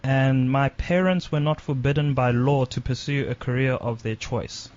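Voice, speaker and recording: A man on a radio talk show, briskly reading out quiz questions. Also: medium pitch, fairly even intonation, a little mellow. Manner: brisk, reads questions aloud quickly. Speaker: guest leading a privilege quiz. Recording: dull lo-fi radio recording.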